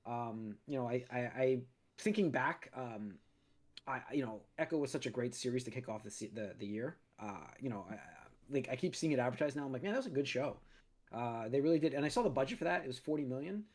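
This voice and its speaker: A man hosting a movie podcast in casual chat, a little raspy as he gets over a cold, rambling with lots of restarts and fillers. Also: medium pitch, animated, fast, darkish tone. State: recovering from a cold, slightly raspy. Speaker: podcast host.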